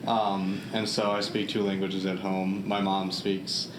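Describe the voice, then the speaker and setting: A young man speaks casually, like a student answering at a meeting. His voice is low and flat, and he is a little hesitant. The room echoes and noise is clear behind him. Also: unhurried.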